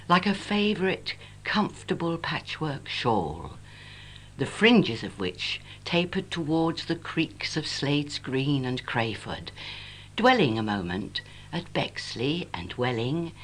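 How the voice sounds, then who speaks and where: An English woman reciting her own poem in a wistful, lyrical and measured way, on an old home cassette recording. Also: sits low, very animated. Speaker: poet reading own work. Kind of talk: expressive poetry recitation. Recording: noisy 1980s home cassette, humming. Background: tape noise and hum.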